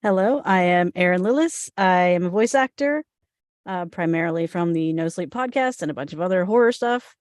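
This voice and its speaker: A woman's voice: lowish voice, expressive, fairly quick, a little mellow, no room sound, clean studio sound. A woman who works as a voice actor, chatting casually over a video call. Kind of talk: casual chat.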